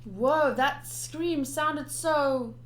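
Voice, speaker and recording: A woman's voice: sits high, drawn-out words. A young woman doing playthrough commentary, reading game text aloud expressively with chatty asides. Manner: expressive, chatty asides between read narration. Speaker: game streamer.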